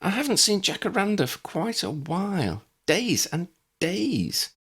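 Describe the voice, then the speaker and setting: A man's voice: high-pitched, very animated, unhurried, fairly bright tone, husky, pitched above the performer's own voice, full character voice. A man voicing an anxious, faltering elderly neighbour woman in an audiobook, fretful and polite. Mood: worried. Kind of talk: audiobook character voice.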